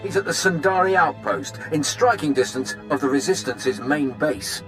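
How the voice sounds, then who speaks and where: Casting: obsequious, upbeat army captain in a game, higher-pitched and very animated. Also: male voice, moderate pace, soft background music. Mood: eager, deferential. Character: eager, fawning male Imperial captain. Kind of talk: scripted game cutscene acting.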